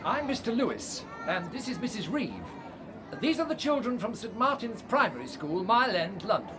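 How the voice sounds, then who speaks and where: A man's voice: sits high, sing-song, normal speed, a crowd in the background. An actor playing a kindly, slightly hesitant male schoolteacher looking after evacuee children in a radio play. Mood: organised, slightly flustered. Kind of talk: radio drama acting. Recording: noisy crowded ambience behind voice.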